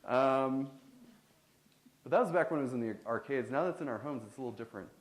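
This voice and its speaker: A man, an artist, answering audience questions in a casual, halting way, with many fillers and restarts. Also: medium pitch, animated. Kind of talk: live Q&A at a talk. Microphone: handheld microphone. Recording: echoey room on a hand mic.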